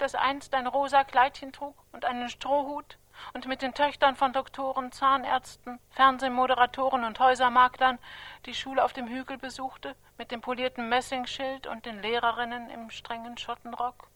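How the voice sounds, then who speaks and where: An actress playing a stern, accusing chronicler in a stylized radio drama, reciting in measured, emphatic declarations. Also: female voice, high voice, level tone, fairly bright tone. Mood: bitter irony. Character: stern, accusing female chronicler of a terrorist's life.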